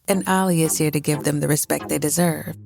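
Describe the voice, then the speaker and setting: A woman's voice: low-pitched, fairly even intonation, brisk, gravelly, music in the background. A woman delivering a scripted advertisement voice-over. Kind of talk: scripted ad read.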